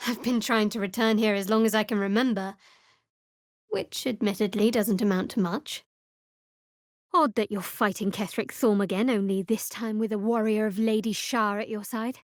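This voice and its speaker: A woman playing a guarded, sardonic young cleric of a dark goddess in a video game, with dry, wry delivery. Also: mid-range voice, steady tone, normal speed, no room sound, close to an everyday voice. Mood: grave, defiant. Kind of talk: video game character voice acting.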